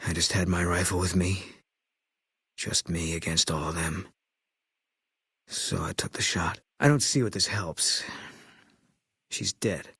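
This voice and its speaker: A man voicing a grim, embittered military sniper in a video game, terse and guarded, with a husky voice. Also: low-pitched, a little slow, pauses often, no room sound, clean studio sound, full character voice. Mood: bitter, subdued. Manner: terse, guarded, flat, clipped delivery. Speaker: video game companion character. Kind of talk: game voice acting, terse dialogue lines.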